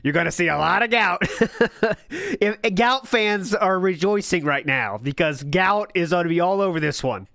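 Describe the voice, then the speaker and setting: A man's voice: high voice, expressive, moderate pace, gravelly, sharp tone, no room sound, clean studio sound. A man hosting a podcast, giving sarcastic, mocking commentary on old posts and live chat and laughing often. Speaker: podcast host.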